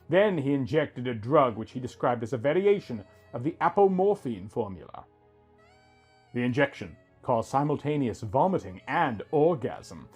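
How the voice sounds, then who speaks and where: A man's voice: medium pitch, a little mellow, husky. A man reading a scripted first-person story as its narrator, with music behind him.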